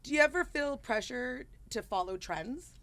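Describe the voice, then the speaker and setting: A woman's voice: high voice, expressive, moderate pace, fairly bright tone, no echo. A woman hosting a podcast interview who speaks in an upbeat, encouraging way with casual slang. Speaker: podcast host.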